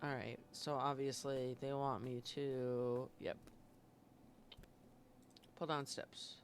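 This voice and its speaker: A woman live-streaming a game with casual, sweary commentary and expressive reactions. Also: rumbling low, takes it slowly, slight background noise.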